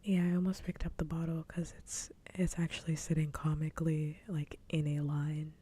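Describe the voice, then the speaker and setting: A woman streamer whispering softly and breathily into a close microphone in a casual ASMR livestream chat. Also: flat delivery, normal speed. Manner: soft whispering, breathy and unvoiced. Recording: low rumbling background noise.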